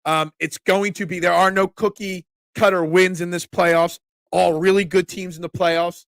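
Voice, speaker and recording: Congested, excitable Philly sports-show host. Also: male voice, high voice, normal speed, vocal fry, dry recording. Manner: excitable and opinionated, with frequent restarts. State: congested, catching a cold. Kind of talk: casual live-stream sports talk, reading chat comments.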